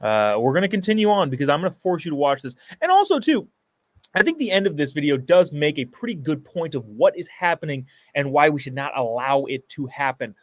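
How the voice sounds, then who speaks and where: A male host of a live show, sarcastic and reacting to a clip, his fairly high voice swinging up and down. He reads viewer comments aloud. The sound is close and dry. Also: very animated, brisk, no echo.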